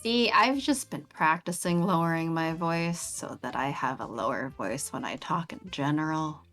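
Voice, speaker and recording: Young woman streamer, sing-songy and bouncy, lowish voice, faint music behind. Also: moderate pace. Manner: melodic, very animated pitch. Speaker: streamer co-host. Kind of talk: casual chat on a game stream.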